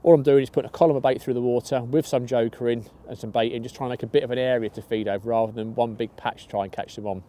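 A man's voice: medium pitch, fairly even intonation, very fast, hardly any pauses, dark tone, slight background noise. A young man casually talking through his fishing bait outdoors by a lake, upbeat and chatty, restarting phrases now and then. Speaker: match angler. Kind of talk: fishing tutorial.

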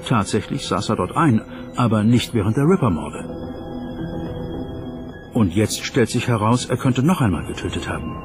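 A man's voice: fairly low pitch, lively intonation, normal speed, pauses often, lightly acted. A man doing a scripted documentary voice-over as an inquisitive investigator reasoning through evidence. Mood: inquisitive, serious. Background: background music.

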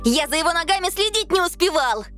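A woman voicing an excitable, boastful young boy hero in a cartoon dub. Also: extremely high pitch, quick pace, no room sound. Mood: excited, cocky. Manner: exclamatory, boastful. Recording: music behind the voice.

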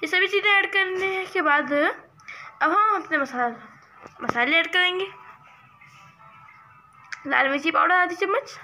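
A woman hosting a cooking channel, explaining a recipe step by step in a steady voice-over. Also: very high-pitched, unhurried, long pauses, over music.

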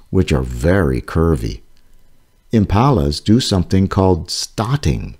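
A man reading his own children's nonfiction book aloud, slowly and carefully. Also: low voice, warm tone. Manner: slow, careful, clearly paced reading. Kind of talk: read-aloud narration for children.

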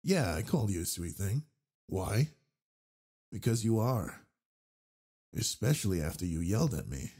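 A man performs a brawny male werewolf alpha for a romantic audio drama. The voice is very husky and low, with a gravelly creak. He is flirtatious yet gentle and protective. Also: very animated, heavily acted. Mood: flirty. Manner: slow, soft, teasing. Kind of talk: intimate romantic audio roleplay.

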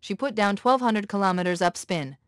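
A woman voicing a quick-witted, analytical military artificial intelligence in a video game, with a crisp, authoritative manner. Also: medium pitch, no echo, clean studio sound, natural voice. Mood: analytical, focused. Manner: crisp, precise, authoritative briefing.

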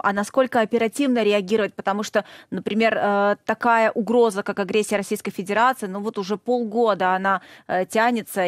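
A woman news anchor presenting in a television studio, briskly introducing topics and asking questions. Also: medium pitch, no room sound. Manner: brisk, reads and asks questions.

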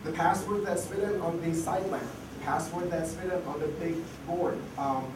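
A man's voice: fairly high pitch, fairly even intonation, normal speed. A man giving a casual conference talk full of fillers and restarts, while losing his voice. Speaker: conference speaker. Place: conference hall. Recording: echoey hall, far mic, noisy.

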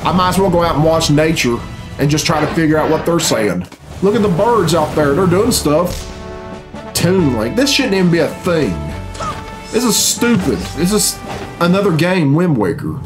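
A man's voice: on the high side, sing-song, normal speed. A man in his thirties giving blunt, grumbling, profane off-the-cuff reaction commentary in a casual style. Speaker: reaction video host. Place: small furnished home room indoors. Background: game cutscene music.

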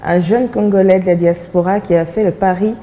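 A young woman presents a studio interview show. Her voice is fairly low and very soft and dark in tone, with a slight rumbly noise behind it. She introduces the guest and puts questions. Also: steady tone, brisk. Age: young adult. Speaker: TV talk show host.

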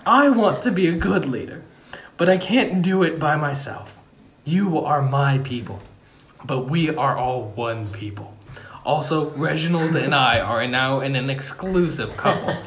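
A melodramatic, earnest king as an amateur reader acts him out, proclaiming to his people in a comedy table read. The pitch swings widely and theatrically, and the room adds noticeable echo. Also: animated, measured pace, fairly bright tone, a little hiss or hum. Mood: earnest, uplifting. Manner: theatrical, swooping declamation broken by amusement. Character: melodramatic young king turned cheerful, earnest ruler. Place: room at home around a wooden table.

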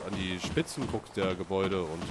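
Man, low voice, slight cold, sniffly, chatty gameplay commentary, heavy background noise. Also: a little fast. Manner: chatty, talks to viewers, reacts aloud. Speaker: let's play gamer.

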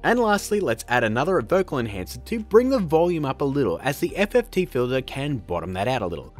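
A man hosts a casual software tutorial in an upbeat, playful way, tossing in joking asides. His pitch leaps about with great animation, and soft music sits faintly underneath. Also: sing-song, quick pace. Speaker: tutorial host.

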